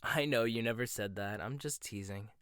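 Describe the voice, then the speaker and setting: A man playing a teasing rival chef, soft and close. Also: lowish voice, normal speed, smooth, no room sound, close to an everyday voice. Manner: soft-spoken, teasing, gets flustered and stammers. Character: proud rival male chef, teasing and flustered. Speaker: ASMR roleplay voice actor. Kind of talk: boyfriend ASMR roleplay, one-sided dialogue.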